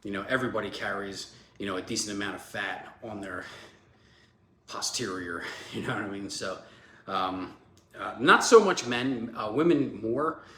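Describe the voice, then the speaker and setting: A man's voice: lowish voice, normal speed, sharp tone. A man in his forties, a fitness vlogger, talking in a loose, chatty way with many fillers, congested from a cold. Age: 40s. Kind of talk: casual rambling vlog talk. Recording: echoey room, faint background hum.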